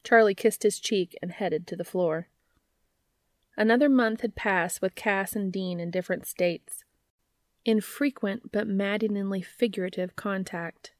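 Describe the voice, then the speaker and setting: A woman's voice: mid-range voice, a little slow, no echo. A woman hosting and narrating fan fiction in a scripted read-aloud style. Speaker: podcast host and fan fiction reader.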